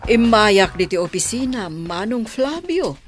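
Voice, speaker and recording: A woman's voice: a little high, sing-song, unhurried, music in the background. A woman playing a blunt, money-minded middle-aged mother of a grown son in an Ilocano radio comedy drama. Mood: discontented.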